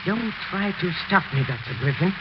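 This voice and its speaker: An actor playing a grief-crazed, obsessive widower in an intense, insistent 1940s radio horror style. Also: male voice, high voice, lively intonation, brisk. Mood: frantic insistence. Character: grief-crazed, obsessive widower awaiting his dead wife. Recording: noisy, muffled 1940s radio recording.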